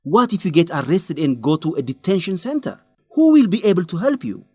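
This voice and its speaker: An earnest young guy in a radio play, fairly high voice that swings up and down a lot, lively and scolding, recorded close and dry with no room sound. he presses his friend hard and sounds sure of his own principles. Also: fairly quick, slightly rough, no echo, exaggerated voice. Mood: pleading, scolding. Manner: animated, urging, scolding. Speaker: friend and fellow researcher. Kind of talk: radio drama acting.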